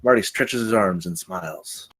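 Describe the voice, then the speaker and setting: A man's voice: low voice, moderate pace, a bit bright. A man reading stage directions as the narrator of a screenplay table read.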